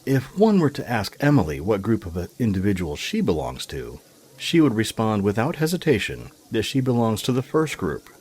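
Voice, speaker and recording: A male narrator tells scripted fiction in formal, literary phrasing. His voice is low and husky, with very animated intonation. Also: normal speed.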